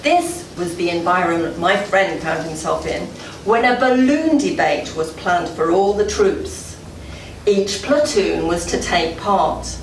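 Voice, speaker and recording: A woman delivering a prepared after-dinner address as club president, telling a story in a deliberate, measured way with dramatic pauses. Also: lowish voice, animated. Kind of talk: prepared public speech, storytelling. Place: indoor gala dinner room before an audience. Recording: echoey room, far from mic, noisy.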